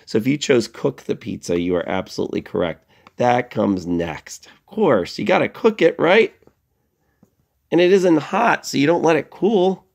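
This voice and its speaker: A middle-aged man giving a lesson to young children as an elementary school teacher, playful and expressive, posing questions and answering them himself. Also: mid-range voice, moderate pace, vocal fry.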